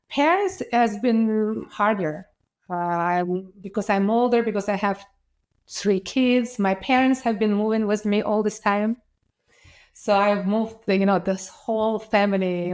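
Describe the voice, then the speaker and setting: A woman in her thirties from Ukraine with a Ukrainian accent, an enthusiastic interview guest who talks casually with fillers and restarts. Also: medium pitch. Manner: enthusiastic, many fillers and restarts, laughs often.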